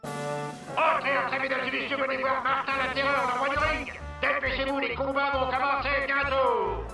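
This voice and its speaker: A man's voice: very high-pitched, flat delivery, moderate pace, vocal fry. A man playing a fairground barker in a French cartoon, calling out to draw people to a show. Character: loud fairground barker touting a fight show. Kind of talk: French animated cartoon acting. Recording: music behind the voice.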